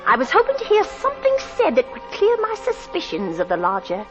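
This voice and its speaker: Anxious, high-voiced landlady over dramatic music. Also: huge pitch swings, fairly quick. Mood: tense confiding. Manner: fearful, breathless, melodramatic. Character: anxious, suspicious landlady, wife and mother. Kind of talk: 1940s radio acting, dramatic first-person narration. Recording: dull 1940s radio recording.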